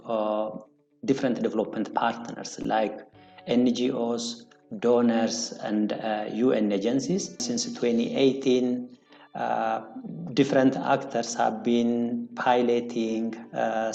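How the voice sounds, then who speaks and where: Hesitant man, flat and dark. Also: mid-range voice, slow pace, vocal fry, echoey room, soft background music, rough recording. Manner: hesitant, many fillers. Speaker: country director. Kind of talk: recorded video statement.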